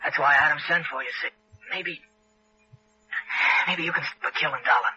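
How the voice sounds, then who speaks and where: An actor playing a dying American convict in a 1960s radio drama, weak and remorseful, speaking haltingly in rough, uneducated phrases. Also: male voice, fairly high pitch, pushed and bright, slight background noise. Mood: bitter, urgent. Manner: halting, rough uneducated speech, trailing and repeating. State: weak, failing, gasping. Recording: dull old radio recording, faint music.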